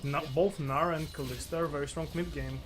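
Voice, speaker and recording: A man's voice: on the high side, steady tone, fairly quick, noisy background. A man giving casual esports analysis as a co-commentator, often repeating words as he starts his sentences.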